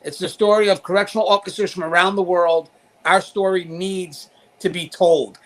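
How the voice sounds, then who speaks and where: A man's voice: high-pitched, fairly even intonation, normal speed, a bit bright. A man hosting an interview show, presenting to his audience and addressing guests. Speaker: talk show host. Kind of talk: upbeat show hosting with sponsor read and interview questions.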